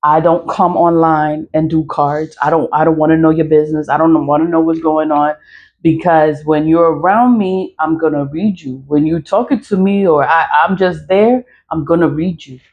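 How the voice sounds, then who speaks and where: A woman's voice: sits low, steady tone, normal speed, lo-fi sound. A woman in her mid-forties ranting casually to her followers, blunt and profane, laughing often between points. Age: 40s. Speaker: social media creator. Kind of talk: casual vlog rant.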